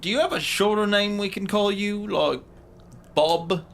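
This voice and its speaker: A man's voice: high voice, measured pace, vocal fry, fairly bright tone. A man chatting casually as a tabletop game player, hesitantly doubling up his words. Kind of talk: casual improvised game chat.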